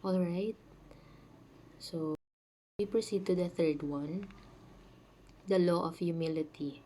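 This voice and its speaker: A woman hosting a casual spiritual talk at home, sounding sick with a mellow voice, chatty with fillers and asides. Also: on the low side, slow pace. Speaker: channel host. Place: home, dogs nearby.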